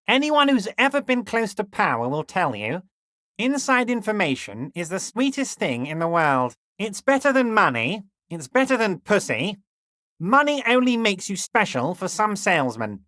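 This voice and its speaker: Game role: a folksy scheming union boss, sweet-talking and sly, bouncy intonation, close dry mic. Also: male voice, high-pitched, pushed and bright, no room sound, heavily acted. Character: scheming jovial male union boss, middle-aged. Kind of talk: video game voice acting.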